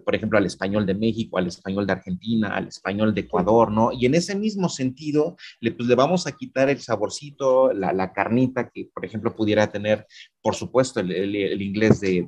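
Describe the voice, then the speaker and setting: Man, middle-aged, professor, low voice, halting conversational delivery. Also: darkish tone. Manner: halting, many repeated words and fillers. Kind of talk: conversational academic discussion.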